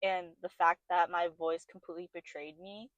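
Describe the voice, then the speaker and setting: A young woman co-hosting a podcast, telling stories about herself in a lively, self-mocking way. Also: lowish voice, normal speed, dry recording, muffled recording. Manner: animated storyteller, self-deprecating, laughs often. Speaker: podcast co-host. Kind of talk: casual chat.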